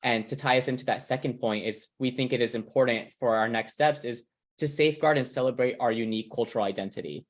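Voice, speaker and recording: A man's voice: medium pitch, quick pace. A young man from California, earnestly concluding a presentation on a video call, reflective, with restarts.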